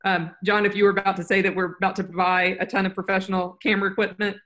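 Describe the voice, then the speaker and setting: A woman's voice: medium pitch, level tone, fast talker, fairly bright tone. A woman talks through course plans on a video call. She rambles and corrects herself as she goes, staying upbeat. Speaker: course producer. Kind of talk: casual video call meeting.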